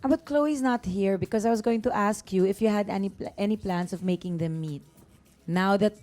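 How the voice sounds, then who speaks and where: A woman hosting an interview, chatty and friendly, firing off quick follow-up questions. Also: mid-range voice, a little mellow. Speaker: talk show host.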